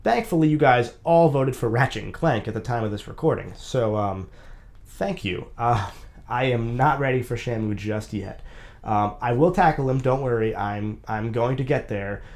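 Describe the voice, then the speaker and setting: A man's voice: medium pitch, normal speed, darkish tone. A young man with a slightly nasal, congested voice, recovering from a sinus infection and narrating a scripted video game review.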